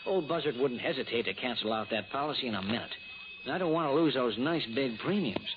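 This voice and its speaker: Insurance agent, played by a man: exasperated American male, quick and very animated, emphatic, muffled old radio sound. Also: mid-range voice, music in the background, close to an everyday voice. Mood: urgent, coaxing. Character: exasperated, dismissive male insurance agent, fretting over premiums. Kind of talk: 1960s radio drama acting.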